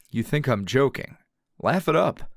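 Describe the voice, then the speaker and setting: A man playing a weary, teasing male teacher hero in an intimate ASMR roleplay, with a low gravelly voice and lingering pauses. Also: no echo, studio quality, exaggerated voice. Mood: dry, amused. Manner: slow, breathy murmuring with sighs and long pauses.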